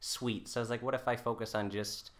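A man's voice: medium pitch, flat delivery, fairly quick, a bit bright. A man in his twenties, a podcast guest, chatting casually and telling stories in a jokey, conversational way. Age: 20s. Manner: chatty, jokey, quotes himself and others. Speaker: podcast guest, folk musician.

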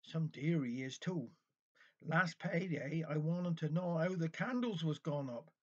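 A chatty Cornish village woman, acted out by a man in a storytelling piece, full of indignant gossip in thick dialect. The voice is husky and the recording is dull. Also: fairly high pitch, unhurried.

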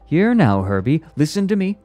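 A man's voice: medium pitch, huge pitch swings, measured pace, a little mellow, no echo, faint music behind, pitched above the performer's own voice. A man voicing an aged, impatient research director in an audiobook, stiff and insistent. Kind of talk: audiobook character voice.

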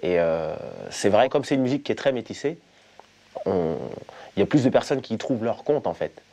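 A man in his thirties, a singer, composer and producer, speaking calmly with fillers in an interview in a music studio. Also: fairly low pitch, a little fast. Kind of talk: interview talk. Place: music studio in front of an amp.

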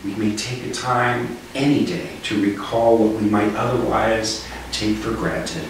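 Deep-voiced older man preaching in echoey room. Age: 60s. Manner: measured, solemn, deliberate phrasing. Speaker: minister. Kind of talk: reflective sermon, read from notes. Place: living room with small seated group. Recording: echoey living room, distant mic, faint noise.

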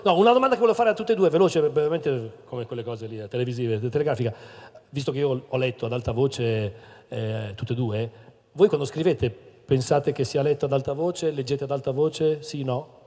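A middle-aged man reading a book passage aloud on stage with a handheld mic, in an expressive, theatrical way, then moderating. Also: medium pitch, brisk. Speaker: reader and moderator. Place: stage in a large hall. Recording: long hall reverb.

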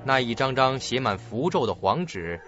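Male radio drama narrator, dramatic, medium pitch, muffled recording over clear background music. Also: sing-song, moderate pace. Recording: dull lo-fi audio, clear music behind.

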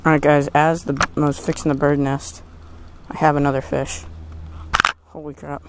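A young man filming himself fishing from a small boat on a lake, talking casually and exclaiming excitedly over his catch through a head-mounted camera. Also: a little high, moderate pace, very noisy. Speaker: fishing vlogger. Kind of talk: casual commentary while fishing. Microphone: head-mounted action camera.

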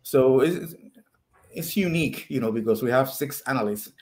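A man's voice: mid-range voice, lively intonation, moderate pace, a little mellow. A man in his sixties with a mid-range voice, chatting on a video call. He talks in a friendly, encouraging way, picked up by a webcam mic. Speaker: baseball historian and show regular. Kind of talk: casual video call chat.

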